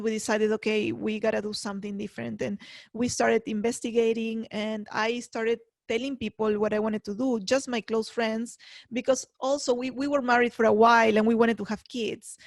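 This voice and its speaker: Guest: middle-aged woman from Peru, gravelly low-register edge, relaxed, filler-heavy storytelling, dry close sound. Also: no echo. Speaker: guest, real estate investor. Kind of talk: conversational interview.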